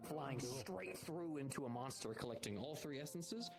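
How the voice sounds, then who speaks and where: A man's voice: mid-range voice, lively intonation, moderate pace, close to an everyday voice. A man improvising an encouraging veteran monster hunter in virtual reality roleplay, explaining things to a rookie and repeating phrases for emphasis. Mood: instructive, casual. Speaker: roleplayer playing a guild instructor. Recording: loud music behind a livestream voice chat. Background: loud music.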